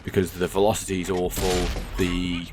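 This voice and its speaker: Croaky low-voiced man commenting on gameplay. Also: completely flat delivery, moderate pace, noisy background. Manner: rambling, self-correcting, blunt opinions. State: croaky, hoarse voice. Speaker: gaming commentator. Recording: game sounds clearly behind the voice.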